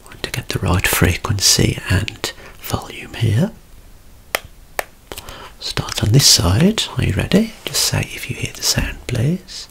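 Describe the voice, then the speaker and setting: A man in his thirties speaks as a doctor in an ASMR roleplay, mostly whispering with a creaky edge. His delivery is very unhurried and reassuring, with a clinical bedside manner. He is recorded up close in a small room. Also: on the low side, big pitch swings, a bit bright, poor audio. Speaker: ASMR creator acting as a doctor.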